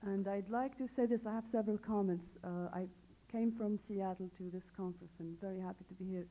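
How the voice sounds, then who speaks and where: A woman speaks up from the floor at a conference, wry and self-assured. Her voice is very dark and mellow. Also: medium pitch, steady tone, fairly quick, low-quality recording. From Tunisia. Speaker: conference attendee. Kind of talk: comment from the floor.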